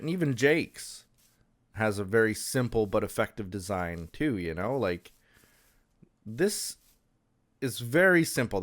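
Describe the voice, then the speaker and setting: Guy w a stuffy blocked nose chatting thru his game build, lowish voice, lots of ums. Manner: rambling, hesitant, lots of ums and restarts. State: stuffed up with a sinus infection. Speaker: Minecraft server let's-play host. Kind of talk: casual gameplay commentary.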